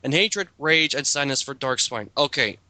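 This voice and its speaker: A man's voice: mid-range voice, animated, moderate pace, pushed and bright, no room sound. An exasperated man reading aloud and commenting casually in a group chat, swearing now and then.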